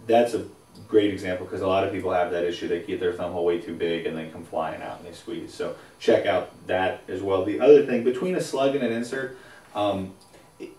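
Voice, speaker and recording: Low-voiced man in a roomy studio. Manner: relaxed, explanatory, stacks up phrases with fillers. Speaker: co-host of a bowling advice show. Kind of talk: casual expert advice chat. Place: indoors, small studio against a plain backdrop. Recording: roomy echo, voice a bit far from mic.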